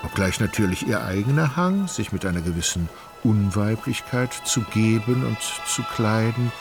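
A man reading scripted literary narration for a radio play in a measured, articulate storytelling manner. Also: lowish voice, expressive. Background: music.

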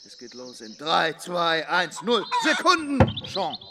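A man playing a brisk, upbeat young commune leader calling out to his group in a German radio drama. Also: fairly high pitch, wildly swooping pitch, pushed and bright, heavily acted. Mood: announcing.

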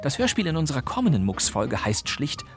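A man's voice: mid-range voice, huge pitch swings, a little fast, over music. A man presenting a radio drama podcast with scripted, theatrical announcer phrasing. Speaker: podcast host.

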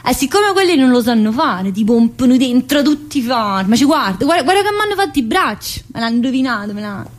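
A woman's voice: a little high, sing-song. An actress playing a talkative, troubled woman locked in a psychiatric prison, in a radio theatre monologue, with a Neapolitan accent and a colloquial, confiding, rambling manner. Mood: aggrieved. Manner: colloquial, confiding, rambling, with abrupt pauses and interjections.

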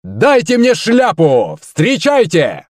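A man voicing a bombastic, excitable stage show host in an audiobook, showy and proclaiming. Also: on the high side, a little slow, no echo, clean studio sound, voice pushed up. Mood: exultant. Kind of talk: audiobook character voice.

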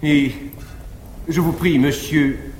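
An actor playing a cold, arrogant nobleman libertine in a measured, declamatory classical French stage style. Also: on the high side, fairly even intonation, a little mellow. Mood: feigned piety, solemn. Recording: reverberant 1950s live theatre recording, faint noise.

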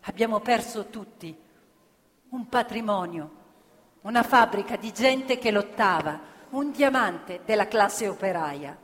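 A woman's voice: medium pitch, animated, fairly bright tone. Proud, grieving factory woman, echoing hall. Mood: resigned, sorrowful. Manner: emphatic repetitions, deliberate phrasing. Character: former female factory worker, proud, grieving union militant. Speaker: stage actress in radio theatre. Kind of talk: dramatic theatrical monologue. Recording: reverberant theatre hall, music playing along. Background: live violin music.

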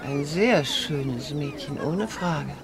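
An actress playing a worldly, wistful older lady in a German radio drama, speaking formally with a gently teasing manner. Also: deep voice, lively intonation, takes it slowly, a crowd in the background, heavily acted. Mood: knowing, teasing. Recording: clear background ambience noise.

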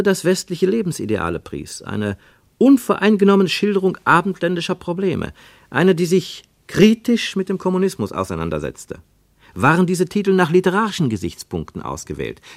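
A man narrating a literary radio drama as a reflective observer, deliberate and given to rhetorical questions. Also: medium pitch, no room sound. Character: male narrator of a literary travel report, probing and reflective.

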